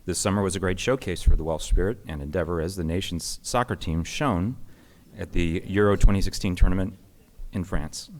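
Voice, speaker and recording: Deep-voiced man reading a formal introduction. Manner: measured, formal reading with small slips. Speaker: host introducing a guest. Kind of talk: read prepared introduction. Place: conference hall.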